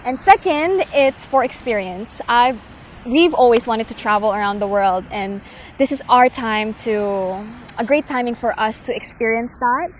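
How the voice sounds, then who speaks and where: A young woman chatting casually to camera as a vlogger, full of fillers and quick restarts. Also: on the high side, slight background noise, low-quality recording. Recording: music bed underneath.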